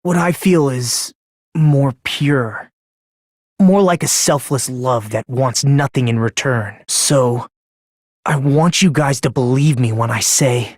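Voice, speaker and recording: A frail, unhinged teenage boy from a video game, with a breathy, husky voice in a dry recording. He is polite and self-deprecating, speaking with theatrical fervor about his obsession with hope. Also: medium pitch, no room sound. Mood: soft, earnest. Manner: polite, theatrical, swinging from gentle to fervent. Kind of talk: English video game voice acting.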